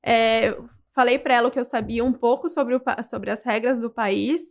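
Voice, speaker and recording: A young adult woman, a veterinarian guest, telling stories in a casual podcast chat and acting out quoted dialogue. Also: fairly high pitch. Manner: animated, quick storytelling with quoted dialogue. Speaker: veterinarian guest, pet transport specialist.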